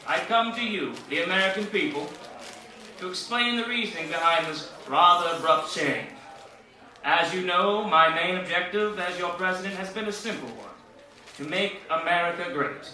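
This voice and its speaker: Man acting a bright, echoey wartime president. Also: high-pitched. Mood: grave, resolute. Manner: formal, measured oratory. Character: President Roosevelt, solemn, lofty male statesman giving fireside chat. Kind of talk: 1940s presidential radio address parody. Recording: echoey old-radio sound, distant.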